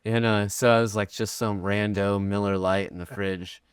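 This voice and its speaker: A man's voice: lowish voice, fairly even intonation, moderate pace, smooth, dry recording, clean studio sound. A middle-aged man chatting casually as a guest musician, rambling and self-deprecating, with lots of restarts. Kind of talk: casual chat.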